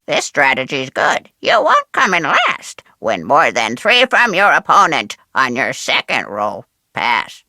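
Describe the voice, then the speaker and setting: A woman's voice: a little high, sharp tone, no room sound, clean studio sound, heavily acted. A woman voicing a bossy, cryptic pet parrot in a video game, squawking and speaking in repeated sing-song rhymes. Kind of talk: exaggerated video game character acting.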